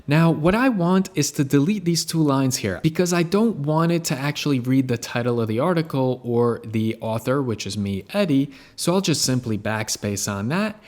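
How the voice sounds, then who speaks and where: A man narrating a software tutorial in a casual, step-by-step way as he demonstrates on screen. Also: mid-range voice, lively intonation, normal speed, few pauses.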